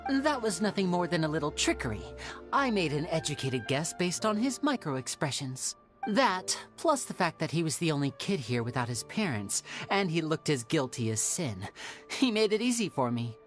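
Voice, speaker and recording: A woman playing a suave, theatrical young male stage magician in an anime game, smooth and showmanlike. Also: low voice, very animated, moderate pace. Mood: playful, confident. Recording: music playing behind.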